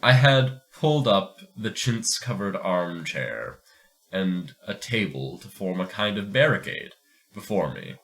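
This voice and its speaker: A man's voice: sits low, sing-song, a little slow, vocal fry, a bit bright, low-quality recording. A man co-hosting a podcast, moving between casual chat and dramatic reading of a story.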